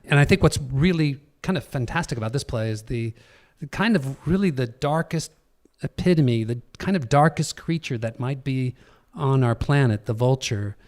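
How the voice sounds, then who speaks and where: A man with a medium-pitched voice talks on a live panel as a theater director. He moves haltingly, pausing and searching for the next word. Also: darkish tone.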